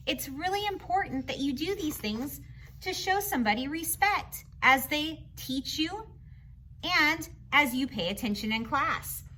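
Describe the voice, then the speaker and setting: High-pitched, bright woman teaching young children. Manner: instructive, simple child-directed phrasing, asks questions. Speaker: teacher reading a picture book aloud to children. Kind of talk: children's read-aloud lesson with explanations and questions.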